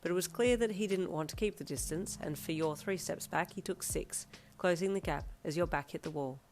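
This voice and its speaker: A woman with a deeper voice, chatty and tomboyish, reading her own audio fanfiction. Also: fairly quick, a little mellow. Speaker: fanfiction reader.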